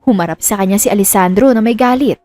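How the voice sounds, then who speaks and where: A woman's voice: medium pitch, fast talker, warm tone, no echo, faint music behind. A woman reading a scripted romance story as a dramatic storyteller.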